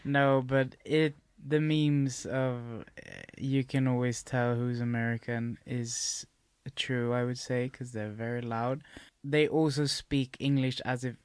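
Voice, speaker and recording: A woman speaking, chatting in a relaxed, unhurried way as a podcast guest. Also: rumbling low, clean tone, no echo. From Sweden. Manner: relaxed, unhurried, laughs often. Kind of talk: casual conversational chat.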